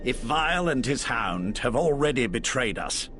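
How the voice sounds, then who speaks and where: An actor voicing a gruff, suspicious male warrior in a video game, quick to threaten. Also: mid-range voice, sing-song, fairly bright tone. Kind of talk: video game character acting. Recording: noisy background ambience.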